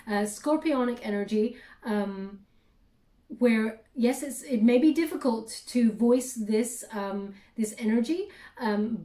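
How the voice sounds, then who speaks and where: A woman giving a spiritual tarot reading, speaking softly and haltingly with many fillers, her voice going hoarse. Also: a little high, reverberant, distant mic. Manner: soft, hesitant, many fillers, addresses listener warmly. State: losing her voice, clearing throat. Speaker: tarot reader.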